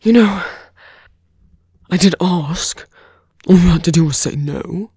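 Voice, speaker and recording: Man acting a husky, whispering seductive villain. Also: high voice, mellow, no room sound, studio quality, exaggerated voice. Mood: wry, smug. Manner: slow, teasing, coaxing. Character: seductive, smug fantasy villain coaxing an abandoned hero. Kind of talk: ASMR roleplay voice acting, intimate whispering. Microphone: close dry microphone.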